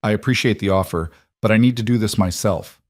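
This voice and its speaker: A man's voice: lowish voice, fairly quick, dry recording, clean studio sound, lightly acted. A man voicing a proud, weary pregnant woman, an estranged wife with a firm, guarded manner, in an audiobook.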